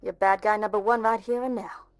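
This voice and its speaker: Lady doing bitter lady cop for a game dub, sarcastic, slightly low voice, super dry audio. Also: steady tone, brisk, smooth, no room sound, lightly acted. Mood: sarcastic, angry. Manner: cutting, sarcastic. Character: stern, bitter policewoman confronting an old friend. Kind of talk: amateur fan-dub game drama acting.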